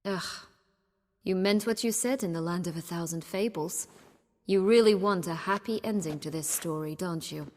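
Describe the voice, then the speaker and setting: A woman's voice: on the low side, normal speed, a little mellow, in a large hall, natural voice. A woman playing a bitter, proud exiled noblewoman in a video game, speaking with haughty, mocking sarcasm. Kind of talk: video game cutscene acting.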